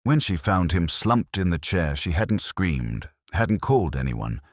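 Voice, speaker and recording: A man reading a scripted first-person detective story in a measured, reflective, literary manner. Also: low voice, big pitch swings, no room sound, clean studio sound. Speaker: narrator.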